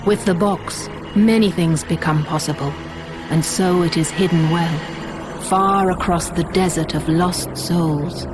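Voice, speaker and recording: An actress playing a calm, commanding goddess in a video game cutscene, measured and solemn. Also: female voice, lowish voice, lightly acted. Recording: loud music behind.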